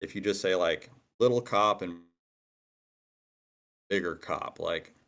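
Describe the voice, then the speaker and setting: A man's voice: low-pitched, slow pace, a bit bright. A man in a casual workshop discussion, explaining his view by example and rephrasing as he goes. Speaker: participant in a screenwriting critique.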